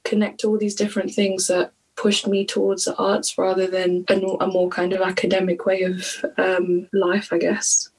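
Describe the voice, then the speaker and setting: Husky-voiced young woman, thoughtful. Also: medium pitch, monotone, moderate pace, few pauses, darkish tone, rough recording. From Kenya. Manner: thoughtful, many ums and restarts. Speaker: artist, podcast guest. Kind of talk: casual interview chat.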